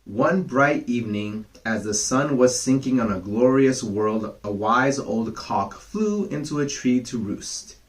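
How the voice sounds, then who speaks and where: A man reads old fables aloud as bedtime stories for children. He is gentle and chatty, stopping for casual asides to his young listeners in a mid-range voice. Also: mid-range voice, lively intonation, unhurried, few pauses. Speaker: storyteller.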